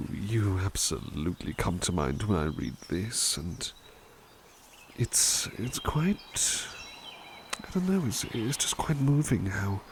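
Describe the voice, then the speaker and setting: A man voicing a doting butler in a soft-spoken ASMR roleplay, talking gently and soothingly with hesitant restarts. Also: on the low side, vocal fry, slight background noise.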